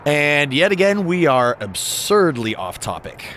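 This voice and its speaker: A man's voice: fairly high pitch, very animated, unhurried, a bit bright, a little hiss or hum. An actor playing an exasperated straight-man podcast host in a video game scene. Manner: exasperated, keeping on topic.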